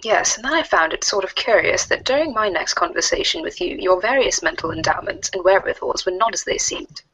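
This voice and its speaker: A woman playing a formal, stiffly scheming female alien troll in a comic readers theater, speaking in wordy, deliberate sentences. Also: mid-range voice, expressive, fairly bright tone, husky, no echo, rough recording. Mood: smug, formal. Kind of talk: readers theater of web comic chat logs.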